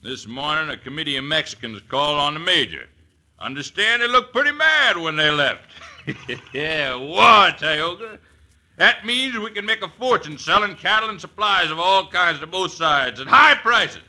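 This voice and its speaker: Ruthless american cowboy outlaw boss on old radio, real sharp forceful voice, barks orders, kinda high. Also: male voice, lively intonation, normal speed. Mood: gloating, scheming. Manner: rough folksy Western talk, barking orders. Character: ruthless male Old West outlaw boss, scheming and greedy. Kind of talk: old-time radio western acting.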